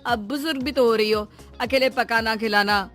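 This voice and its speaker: एक महिला हिंदी कहानी में बेसब्र, रूखे जवान बेटे का किरदार निभा रही है, झिड़कने और टालने वाले लहजे में।. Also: female voice, a little high, moderate pace, fairly bright tone, soft background music, pitched below the performer's own voice, natural voice. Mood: hesitant, persuading. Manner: curt, scolding, exasperated. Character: grown son, impatient, dismissive, cold toward his mother. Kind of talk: Hindi moral story dramatisation.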